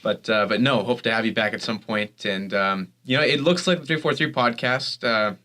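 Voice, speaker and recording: A man's voice: on the low side, moderate pace, bright, forceful tone. A man hosting a casual studio interview, loose and joking with his guest. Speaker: college sports podcast host. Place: radio studio.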